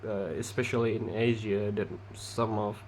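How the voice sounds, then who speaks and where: A young man from Indonesia, a non-native English speaker with an Indonesian accent, giving a halting class presentation with many pauses and restarts. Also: fairly low pitch, flat delivery, a little mellow, a little hiss or hum. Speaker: student presenter.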